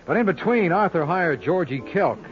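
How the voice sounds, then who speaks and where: Wisecracking American radio sleuth, lively pitch. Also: male voice, normal speed. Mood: explaining. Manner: wry, folksy, slangy. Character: wisecracking male lawyer sleuth, quick-witted and sardonic. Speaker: radio drama lead. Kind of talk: old-time radio detective drama acting. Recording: dull old radio recording with music.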